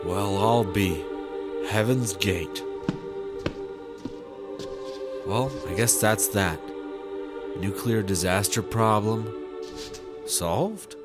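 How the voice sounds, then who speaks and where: A man's voice: fairly low pitch, slow pace, pauses often, over loud music. A man playing an earnest, hesitant squad partner in a sci-fi comedy audio drama. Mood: awed.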